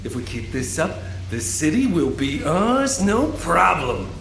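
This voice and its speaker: A man voicing an excitable, scrappy rat mutant sidekick in a cartoon drama, whiny and cocky. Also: a little high, unhurried, gravelly, faint music behind, full character voice. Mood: cocky, excited. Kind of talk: animated audio drama acting. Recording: roomy, faint background noise.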